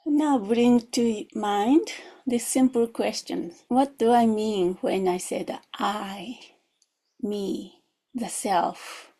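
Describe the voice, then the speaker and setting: A woman's voice: mid-range voice, extremely slow, mellow. A woman guides a meditation as a teacher, reflective and questioning, in short spaced phrases. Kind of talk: guided meditation, gentle instruction.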